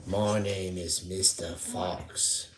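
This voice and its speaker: A performer voicing a proud, intelligent fox in a puppet show, slow and self-satisfied, in an echoey room. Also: deep voice, level tone, voice pushed down. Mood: proud, formal. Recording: echoey room with some noise.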